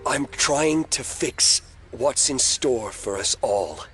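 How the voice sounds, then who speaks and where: An actor playing a scheming telekinetic young man in an audio drama, speaking in a measured, controlling and ominously earnest way. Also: medium pitch, animated, over music. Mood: grave, confiding.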